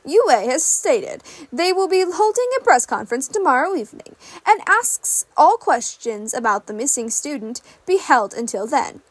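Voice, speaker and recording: Audio story role: measured TV newsreader female reader, high pitch, dry sound. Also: big pitch swings, dry recording. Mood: formal, serious. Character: formal, composed television news anchor. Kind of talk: newsreading within a podfic.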